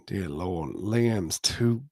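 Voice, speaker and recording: A man voicing a groggy, flirtatious male lover with a thick, gravelly murmur in a romance reading. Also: sits low, lively intonation, extremely slow, warm tone, no echo, clean studio sound, pitched below the performer's own voice, heavily acted. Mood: amused, grumbling.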